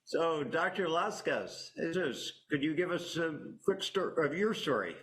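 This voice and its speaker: A man moderating a virtual science panel over a video call, speaking in a measured way with frequent pauses and fillers. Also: a little high, lively intonation, a bit bright, rough recording.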